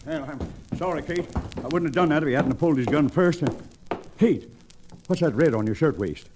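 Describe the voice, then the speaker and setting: An actor playing an excitable, concerned frontier sheriff with a husky voice in an old-time radio western. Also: male voice, on the high side, moderate pace, a little hiss or hum, lightly acted. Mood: alarmed.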